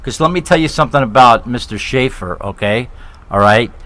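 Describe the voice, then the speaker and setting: A man from New York hosting a live talk show, excitable and joking, often repeating himself as he steers the interview. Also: mid-range voice, a little fast.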